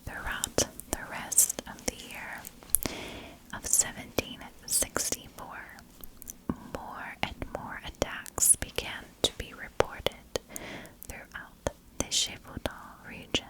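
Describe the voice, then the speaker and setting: A woman narrating a scripted tale in ASMR style, in a hushed and deliberate storytelling manner. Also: rough recording. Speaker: ASMR storyteller and channel host. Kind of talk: whispered ASMR scripted narration of a historical legend.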